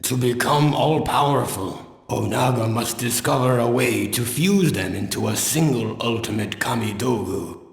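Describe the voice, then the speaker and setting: An actor playing an aging noble warrior in a video game, earnest and remorseful, speaking in a solemn, formal way. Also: male voice, medium pitch, a little slow, gravelly, hardly any pauses. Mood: remorseful, resolute. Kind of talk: dramatic game voice acting. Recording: long reverb, loud music behind.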